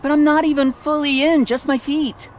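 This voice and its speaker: An actress playing a cheeky city resident protesting to authority in a video game. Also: female voice, sits high, flat delivery, normal speed, a little hiss or hum, close to an everyday voice. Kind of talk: video game cutscene acting.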